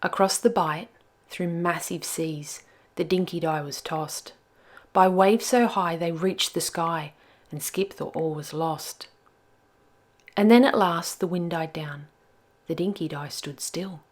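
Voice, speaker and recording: A woman's voice: lowish voice, slow. A woman storyteller reading a rhyming children's picture book aloud with expression.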